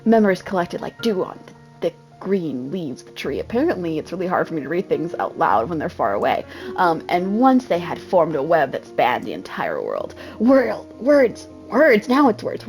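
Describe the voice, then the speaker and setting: A woman's voice: lowish voice, darkish tone, low-quality recording. A woman reading a game's story aloud in a dramatic style, often breaking off into casual, self-deprecating comments.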